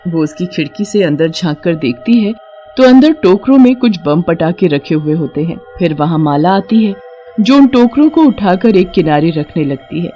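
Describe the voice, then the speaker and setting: A storyteller narrates a scripted cartoon horror tale in Hindi. The voice has a middling pitch, with music underneath. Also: expressive, moderate pace, muffled recording.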